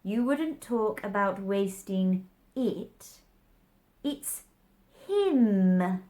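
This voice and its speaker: A woman's voice: medium pitch, animated, extremely slow, dark tone. Lady doing a snooty nutty man from a kids book, drags words out, goes up and down loads. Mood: contemptuous. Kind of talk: children's storybook character voice.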